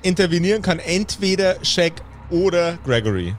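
A man narrating an improvised tabletop game as game master, speaking in a measured, deliberate way with pauses. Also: sits high, sing-song.

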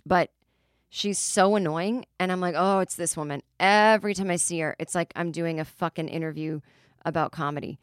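A woman in her forties with a lowish voice, recorded close and dry on a studio mic. She rambles through comic stories in a chatty, exasperated way, peppered with "like". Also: no echo. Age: 40s. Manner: chatty, exasperated, heavy use of "like". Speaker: comedian podcast host. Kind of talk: casual comedic storytelling monologue.

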